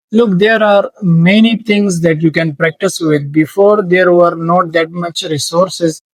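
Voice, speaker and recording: Slow-talking, earnest male tutor. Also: a little high, no room sound, rough recording. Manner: slow, earnest, with repetitions and restarts. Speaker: English teacher. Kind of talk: informal teaching monologue.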